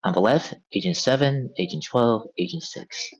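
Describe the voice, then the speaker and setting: A man's voice: medium pitch, big pitch swings, normal speed, gravelly, husky. A man reading stage directions aloud as the narrator of a script table read. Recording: dull, band-limited audio.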